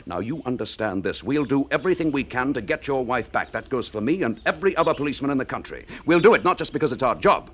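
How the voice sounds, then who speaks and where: An actor with a British accent playing a stern, weary, dutiful chief inspector in a radio drama, clipped and authoritative. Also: male voice, mid-range voice, lively intonation, fast, few pauses, fairly bright tone, breathy edge. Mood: stern, angry.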